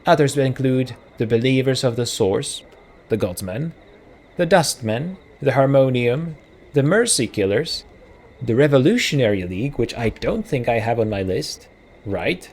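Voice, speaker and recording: A man's voice: mid-range voice, expressive, a little slow, darkish tone. A man with a Czech accent, a native Czech speaker, hosting a let's play in casual commentary, reading game text aloud and musing through self-corrections as he thinks aloud.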